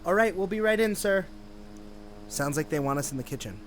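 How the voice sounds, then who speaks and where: A man's voice: high voice, animated, fairly quick, slight background noise, low-quality recording. A man playing a friendly, encouraging police detective in an audio drama, talkative and earnest. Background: music.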